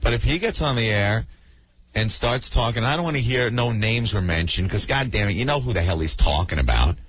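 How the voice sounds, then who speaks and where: A man's voice: on the low side, animated, brisk. A man hosting a radio show with unscripted banter, speaking in a blunt, sarcastic, indignant way. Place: radio studio. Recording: dull, noisy old radio tape.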